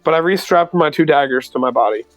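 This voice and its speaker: A man's voice: a little high, fast talker, fairly bright tone, faint music behind. A man playing a tabletop roleplaying game, talking casually and a bit tentatively. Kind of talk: casual in-character roleplay chat.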